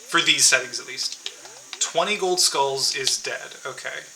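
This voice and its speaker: A man's voice: mid-range voice, unhurried, vocal fry, noisy background. A man streaming a game, chatting casually to viewers and thinking aloud with many fillers and restarts.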